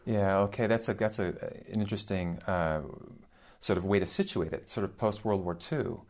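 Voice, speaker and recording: A man hosts a university radio interview, asking questions in a polite, hesitant way with many ums and uhs. His voice is somewhat low. He speaks like a professor introducing and questioning a guest. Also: normal speed. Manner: hesitant, many "uh" and "um" fillers and restarts. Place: radio studio.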